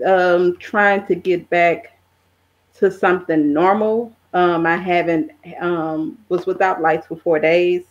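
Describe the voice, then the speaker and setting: Slightly dull, lo-fi audio of a middle-aged woman with a lowish voice. She speaks as an interview guest, casual and conversational, feeling her way through her sentences haltingly. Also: fairly even intonation. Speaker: podcast guest, travel content creator.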